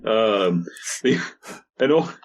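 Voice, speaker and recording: A man with a fairly low, husky voice co-hosts a podcast. He reads stories aloud and drops into casual, joking banter. His delivery is informal and sweary. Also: very animated, drawn-out words. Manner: sweary, jokey. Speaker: podcast host.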